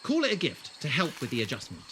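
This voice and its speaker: A man playing a gentle, war-scarred wanderer in an audio drama, with an earnest, hesitant delivery. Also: on the high side, wildly swooping pitch, fast talker, background noise. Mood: helpful. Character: gentle, awkward, war-scarred male wanderer with strange powers.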